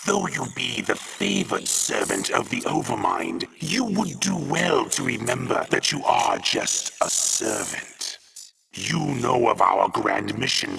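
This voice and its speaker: An actor playing a stern, haughty alien brood commander in a video game, with a rasping growl and formal, archaic warnings. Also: male voice, mid-range voice, a bit bright, heavily acted, low-quality recording. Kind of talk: dramatic video game voice acting.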